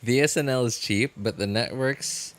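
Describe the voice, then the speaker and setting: A man hosting a livestream, chatting casually and unscripted with viewers in a halting, rambling way that often repeats phrases. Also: medium pitch. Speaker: livestream host.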